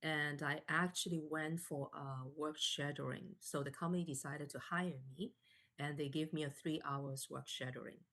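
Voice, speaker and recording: Low-pitched woman, 40s, from canada, talks soft with lots of uhs. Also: steady tone, normal speed. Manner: soft-spoken, many fillers and restarts. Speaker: interview guest. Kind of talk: live online interview, personal story.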